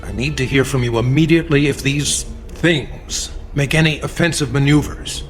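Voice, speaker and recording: An actor playing a firm, cautious leader giving authoritative orders in a radio drama. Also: male voice, mid-range voice, moderate pace, faint music behind, lightly acted. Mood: firm, grave. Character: commanding male national leader, cautious and firm.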